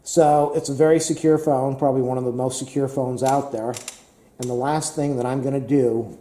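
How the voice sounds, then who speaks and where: A man talks casually and rambles, repeating himself and filling pauses with "uh." His voice is very dark and mellow and a little hoarse. He sounds like he's in an echoey room. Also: mid-range voice, steady tone, slight background noise. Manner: rambling, repetitive, many fillers. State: slightly hoarse. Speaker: tech vlogger.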